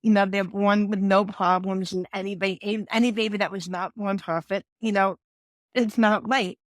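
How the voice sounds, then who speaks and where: A woman's voice: medium pitch, steady tone, moderate pace, no echo, studio quality. A woman in her forties with a New York accent, a podcast guest and confidence coach telling her story earnestly, often restarting her sentences. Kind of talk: podcast interview.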